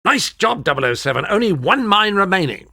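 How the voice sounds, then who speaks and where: High, prim, exasperated gadget master. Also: male voice, sing-song, fairly quick, vocal fry, bright, forceful tone, breathy edge, no echo, studio quality, exaggerated voice. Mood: approving. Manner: prim, dryly sarcastic, nagging. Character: fussy, exasperated male gadget master advising a spy. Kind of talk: scripted video game voice acting.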